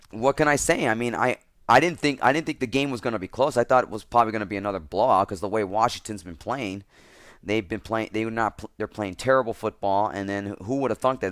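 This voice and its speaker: A man with a slightly hoarse voice, giving casual football commentary as a picks vlogger and rambling, restarting his sentences often. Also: lowish voice, fairly bright tone, no room sound, studio quality.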